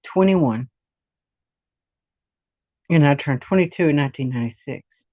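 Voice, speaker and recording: A woman's voice: deep voice, expressive, a little slow, no room sound, narrow, tinny audio. A woman in her forties giving a casual, rambling personal monologue, often circling back to repeat points. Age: 40s. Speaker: vlogger sharing personal experience.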